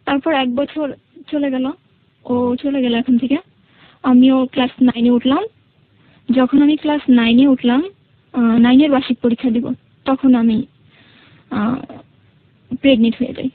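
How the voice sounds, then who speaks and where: A woman's voice: high voice, fairly even intonation, a little slow, long pauses, narrow, tinny audio. A woman with a Bangladeshi accent telling her personal story as an interview guest, hesitating and repeating herself to explain. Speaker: radio show guest.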